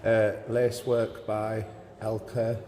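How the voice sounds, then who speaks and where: A man's voice: lowish voice, completely flat delivery, slow, background noise. Chatty man in an echoey museum. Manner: chatty, reads exhibit labels aloud. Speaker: vlog host. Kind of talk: casual museum walkthrough. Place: large indoor museum. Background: museum ambience.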